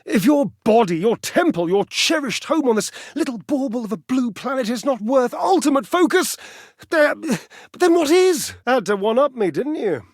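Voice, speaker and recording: A man's voice: very high-pitched, sing-song, normal speed, dry recording, studio quality, exaggerated voice. Pompous, swooping theatrical male dandy. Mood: condescending. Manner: pompous, flamboyant, wordy, condescending. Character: vain, snobbish, athletic household appliance, theatrical and petulant. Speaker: video game character actor. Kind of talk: theatrical dating-game character acting.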